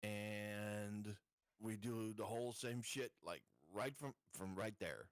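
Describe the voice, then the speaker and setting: A man's voice: on the low side, slow, no room sound, studio quality. A man chatting casually as a player in a tabletop game, with a wry, sweary manner.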